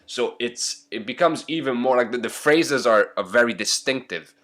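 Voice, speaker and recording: Man hosting a podcast, jokey, rambling questions, mid-pitched voice. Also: animated, moderate pace, fairly bright tone. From Hungary. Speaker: podcast host. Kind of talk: casual interview chat.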